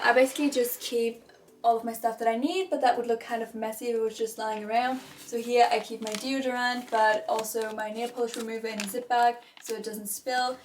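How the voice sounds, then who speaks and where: A woman's voice: a little high, a little mellow, slight background noise. A young woman with German as her first language, casually showing her dorm room and often doubling words for emphasis. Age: young adult. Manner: chatty, repeats words for emphasis ("very, very"). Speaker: vlogger giving a dorm room tour. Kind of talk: casual tour narration.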